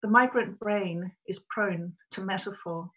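A woman's voice: mid-range voice, fairly bright tone, poor audio. A middle-aged woman from Australia, a writer giving a prepared, reflective talk to camera from a small room over a webcam. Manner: measured, reflective storytelling.

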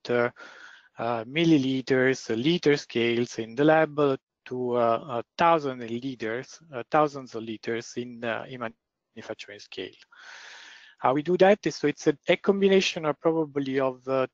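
A man speaks into a computer mic on an online call, and the audio comes through dull and dry. His voice is loud and mid-pitched. He lectures haltingly, filling every gap with "uh" and starting sentences over. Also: dry recording. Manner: hesitant, many fillers and restarts. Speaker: guest lecturer, process engineer. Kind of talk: webinar presentation.

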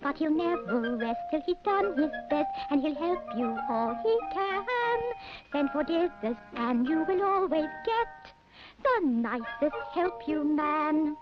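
A woman sings a children's programme theme at a high pitch and an unhurried pace. The old film soundtrack is dull, with loud music. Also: very animated. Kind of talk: sung children's show theme.

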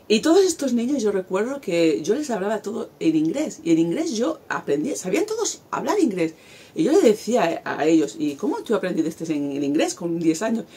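Animated Spanish woman talking in a garden. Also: on the low side, husky. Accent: Spanish from Spain. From Spain. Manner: animated, gestures a lot with her hands. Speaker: vlogger. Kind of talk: casual talk to camera. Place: outdoors in a garden.

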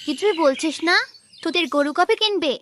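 A woman's voice: extremely high pitch, brisk, music in the background. A woman voicing a friendly, curious young village girl in a cartoon, childlike and coaxing. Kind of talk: cartoon voice acting.

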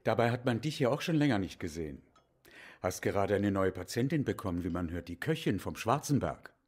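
Husky, low-voiced bookish historian. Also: male voice, sing-song, fairly quick. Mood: wry, teasing. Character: bookish, self-mocking male Viennese historian, wry and absorbed. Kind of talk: German audio drama acting.

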